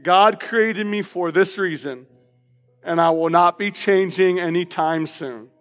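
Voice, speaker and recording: Loud, passionate high-voiced pastor in hall. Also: male voice, unhurried, faint music behind. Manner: reads personal declarations aloud with emphasis. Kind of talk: sermon. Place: church sanctuary.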